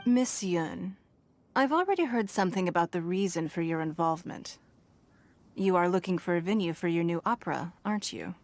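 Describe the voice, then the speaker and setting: A woman voicing a shrewd, wealthy female city leader who speaks in a measured, authoritative, formal way. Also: fairly low pitch, animated, darkish tone. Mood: calm. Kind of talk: game cutscene voice acting.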